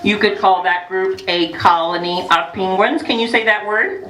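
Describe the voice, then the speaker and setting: A woman voicing a playful, hungry female penguin who reads a picture book to children, teasing them with questions and dramatic pauses. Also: mid-range voice, a bit bright, lightly acted. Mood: storytelling. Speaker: storyteller for children. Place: echoey room with a group of children. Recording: roomy, distant, noisy live room recording.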